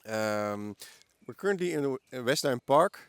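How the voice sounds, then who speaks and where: Smooth-voiced Dutch man in his forties. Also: medium pitch, expressive, moderate pace, dry recording. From the Netherlands. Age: early 40s. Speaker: podcast guest. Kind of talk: casual conversational interview answers. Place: outdoors in a park.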